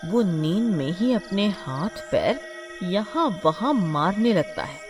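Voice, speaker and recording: A woman narrating a scripted horror story in a dramatic storytelling manner. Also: fairly low pitch.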